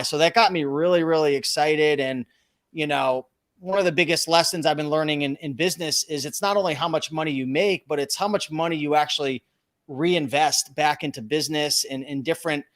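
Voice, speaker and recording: A man hosting an interview, asking friendly, leading questions in a casual tone. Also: on the high side. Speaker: host, interviewer.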